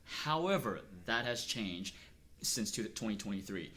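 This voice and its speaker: A middle-aged man from Texas speaks in an interview, earnest and measured, with thoughtful pauses between his phrases. His voice sits a little on the low side. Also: animated, sharp tone. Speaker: interviewee, acupuncturist. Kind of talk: reflective personal interview.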